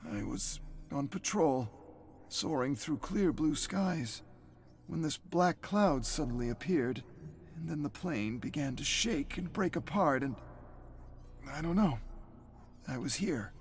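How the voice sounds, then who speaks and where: A man voicing a wounded, bewildered woman pilot in a story reading, halting and plaintive. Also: fairly high pitch, expressive, soft background music, pitched above the performer's own voice. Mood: dazed, shaken.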